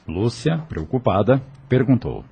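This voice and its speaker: A man's voice: medium pitch, big pitch swings, measured pace, gravelly, mellow, breathy edge. A man narrating an audio novel in a scripted storytelling style.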